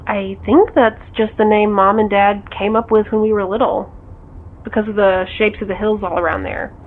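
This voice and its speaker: An actress playing a teasing, dry-humored big sister in a naturalistic audio drama, heard through a phone filter. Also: female voice, medium pitch, level tone, moderate pace. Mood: relaxed, curious. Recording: thin, band-limited phone line.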